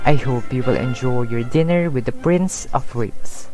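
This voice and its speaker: A teenage boy playing a courteous gentleman who reads out a formal letter as a voice-over in an amateur play. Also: mid-range voice, normal speed, soft background music, close to an everyday voice. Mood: polite, apologetic. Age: teens.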